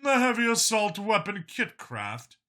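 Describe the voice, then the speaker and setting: A man plays a proud military commander in an audiobook. He is smug and boastful, drawling in a bright, forceful, raised voice. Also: sits high, very animated, measured pace, pitched above the performer's own voice, exaggerated voice. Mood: amused. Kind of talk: audiobook character dialogue.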